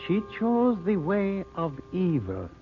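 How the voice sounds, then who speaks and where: A solemn, ancient mystic teacher in an old radio serial. He speaks very slowly and ceremoniously in a fairly high male voice, over a thin, phone-like recording with music behind. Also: wildly swooping pitch, full character voice. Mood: mystical, grave. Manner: archaic, ceremonious, measured speech. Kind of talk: 1930s-40s radio drama acting.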